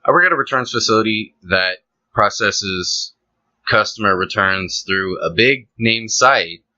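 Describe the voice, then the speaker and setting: A man's voice: on the low side, lively intonation, a little slow, sharp tone, no room sound, lo-fi sound. A young man co-hosting a casual podcast, talking in a laid-back, joking, rambling way with fillers. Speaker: podcast co-host.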